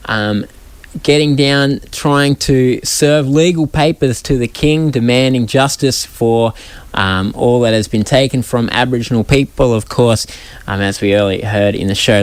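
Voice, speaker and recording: A man hosting a community radio interview, relaxed and hesitant, with many fillers. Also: mid-range voice, a little slow, clean tone, dry recording. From Australia. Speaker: radio show host.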